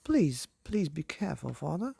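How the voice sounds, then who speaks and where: A man's voice: on the high side, very animated, moderate pace, creaky, warm tone, husky, no room sound, pitched above the performer's own voice, exaggerated voice. A man voicing a fretful, imploring married woman, a timid librarian mother in a storybook. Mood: pleading.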